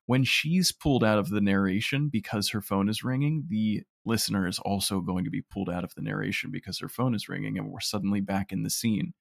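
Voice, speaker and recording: A man with a fairly low voice talks through his production work as an interview guest. The delivery is conversational and the sound is dry, with little room. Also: fairly quick, gravelly, hardly any pauses, no echo. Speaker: audio drama producer and writer, interview guest.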